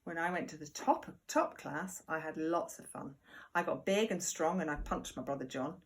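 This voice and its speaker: A woman reading a picture book aloud to young children like a primary teacher, expressive and deliberate, with chatty asides. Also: deep voice. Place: at home.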